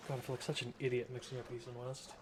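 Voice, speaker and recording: A man's voice: mid-range voice, level tone, racing, background noise. A man doing casual, rambling game commentary as a streamer, often self-deprecating. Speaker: gaming streamer. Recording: game music behind the voice.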